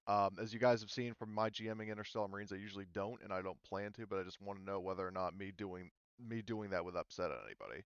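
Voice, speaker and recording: Male game master, low register, dry close sound, casual and hesitant explainer. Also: fast talker, few pauses, clear, resonant tone, no echo, lo-fi sound. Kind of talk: casual explanatory group discussion before a tabletop game. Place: online voice call.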